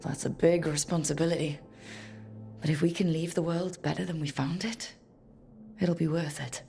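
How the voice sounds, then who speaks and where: Video game adventurer, female, deep register, creaky edge, wry, reflective, unhurried. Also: steady tone, dark tone, faint music behind. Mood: resolved. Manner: unhurried, musing to herself. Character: weathered young woman adventurer, resolute, wry, reflective. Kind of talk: video game voice acting, reflective solo musing.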